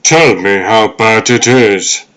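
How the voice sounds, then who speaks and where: A man voicing the wise, gentle ghost of an elderly butler in a comic dub, speaking in measured, solemn counsel. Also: on the low side. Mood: gentle concern. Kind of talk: fan comic dub acting.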